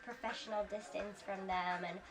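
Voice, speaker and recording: A woman's voice: mid-range voice, fairly even intonation, normal speed, poor audio. A woman in her twenties from Brooklyn, a former soldier, speaking sincerely and conversationally with some fillers. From Brooklyn, New York. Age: 20s. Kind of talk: earnest interview testimony.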